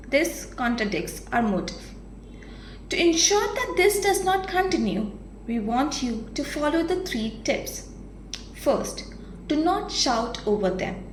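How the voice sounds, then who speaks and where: A woman giving an instructional training talk as a program manager, with deliberate, emphatic enunciation. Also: high voice. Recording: roomy echo, faint background noise.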